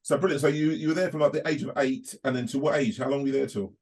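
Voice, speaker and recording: A man hosting an interview, enthusiastic and quick to repeat words of praise. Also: mid-range voice, steady tone, darkish tone. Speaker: podcast host. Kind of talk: casual interview chat.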